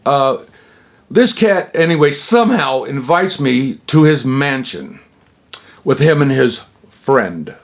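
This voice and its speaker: A man's voice: medium pitch, very animated, slow. A man in his sixties from Utah, telling a personal story in a digressive, chuckling way, breaking off into asides and slang. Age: 60s. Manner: digressive, self-interrupting, chuckling. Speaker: vlogger.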